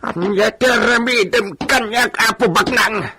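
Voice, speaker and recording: A man's voice: high voice, unhurried, noisy background. A man playing a defiant, anguished old captive in his eighties in a radio drama. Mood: uneasy.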